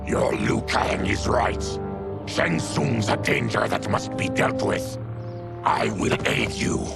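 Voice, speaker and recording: A man playing a grave, afflicted otherworldly warrior in a video game, with a gravelly voice and measured delivery. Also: lowish voice, lively intonation, loud music behind the voice, heavily acted. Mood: resolute. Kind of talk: dramatic game cutscene acting.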